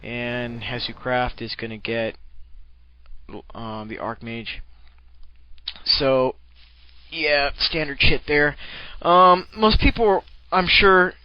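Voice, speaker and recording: A man's voice: medium pitch, steady tone, takes it slowly, no echo, highs cut off. A man giving casual, rambling commentary on a game replay, with profane, jokey slang.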